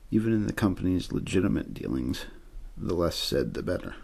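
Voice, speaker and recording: A man reading a story aloud in ASMR style, soft-spoken and measured, with frequent pauses and a breathy, gravelly voice. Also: low voice, big pitch swings. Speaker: audiobook-style reader for an ASMR channel.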